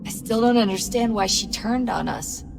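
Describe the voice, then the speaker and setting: An actress playing a young, gently curious teammate in a video game, speaking tentatively and with concern. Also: female voice, on the high side, normal speed, creaky. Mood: gentle, tentative. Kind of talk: video game cutscene dialogue.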